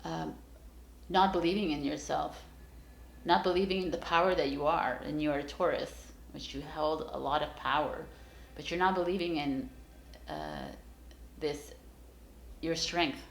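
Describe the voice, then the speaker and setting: A woman's voice: low-pitched, fairly even intonation, measured pace, vocal fry, roomy sound, rough recording. A woman giving a casual, encouraging tarot reading straight to her viewers, rambling with many fillers and restarts. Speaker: tarot reader.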